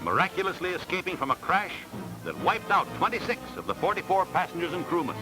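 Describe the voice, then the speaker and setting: A man's voice: sits high, sing-song, fast talker, music in the background, rough recording. A man reading scripted 1940s newsreel narration in a formal, dramatic announcer style, on a vintage film soundtrack. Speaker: newsreel commentator.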